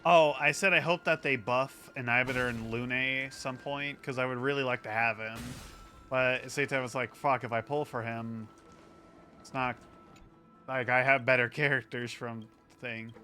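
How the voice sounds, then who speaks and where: Bright-voiced, casual male game streamer. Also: mid-range voice, fairly even intonation, normal speed, faint music behind. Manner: informal, sweary. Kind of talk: casual chat over gameplay.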